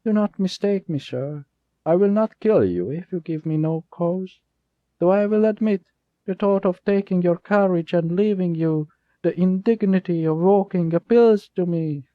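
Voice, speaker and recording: A sly young thief with high, formal, fawning speech, as a man puts him on. Behind the politeness is a quiet threat. The sound is dull and dry, like a lo-fi home recording. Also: normal speed, no room sound, natural voice. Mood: menacing. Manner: overly formal, flattering then menacing. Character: cunning young male thief, falsely polite.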